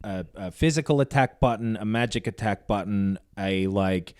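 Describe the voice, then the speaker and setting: A man's voice: on the low side, normal speed, a little mellow. A man hosting a video game podcast, chatting casually and colloquially, acting out little quoted asides as he goes. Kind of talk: casual chat.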